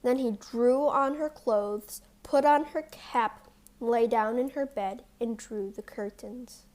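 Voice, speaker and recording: A woman narrating a scripted fairy tale for young children as a storyteller, reading deliberately. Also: fairly high pitch, darkish tone.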